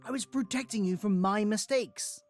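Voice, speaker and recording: A man's voice: high-pitched, normal speed, faint music behind, close to an everyday voice. A man playing a stern, formal aristocratic father and estate patriarch, speaking indignantly. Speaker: Robert, lord of the estate.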